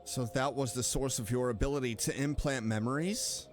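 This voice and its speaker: Mid-pitched man reacting over game music. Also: normal speed. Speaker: streamer. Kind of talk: casual game playthrough commentary.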